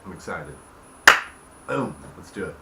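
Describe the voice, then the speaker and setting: A deep-voiced man gives a casual, informal explanation as a game master, checking in with his listeners as he goes. The recording is roomy and echoey, with audible noise behind him. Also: very animated, measured pace. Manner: informal, checks listeners follow with tag questions. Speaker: host explaining house rules as dungeon master. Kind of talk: casual explanatory talk to camera. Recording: echoey room with background noise.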